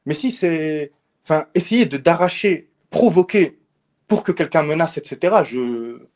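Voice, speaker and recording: A man's voice: on the high side, animated, a little mellow. A man calling into a live discussion, speaking informally with slang and repeating himself as he pushes his point. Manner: fast, informal slang, repeats words when pressing a point. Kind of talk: casual debate.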